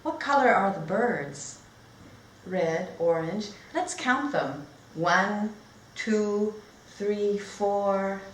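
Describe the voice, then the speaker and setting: A woman teacher reading a storybook aloud as a lesson for young learners, speaking in simple, deliberate phrases with pauses and questions to her listeners. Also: medium pitch. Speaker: teacher demonstrating read-aloud techniques. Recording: echoey room with clear background noise.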